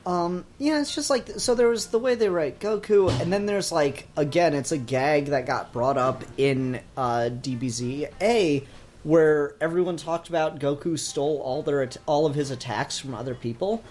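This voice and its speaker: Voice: medium pitch, normal speed, a little mellow, slight background noise. Rambling, hesitant podcast host. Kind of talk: casual discussion of comics and anime.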